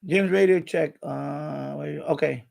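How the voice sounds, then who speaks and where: A man's voice: a little high, slow pace, gravelly, a little mellow. An older man hosting a live stream with casual, rambling chat, often hesitating and repeating himself.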